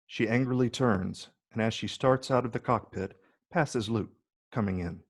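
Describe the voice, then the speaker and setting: Brief: male narrator for screenplay directions, low voice with husky grain, measured delivery. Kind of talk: scripted narration.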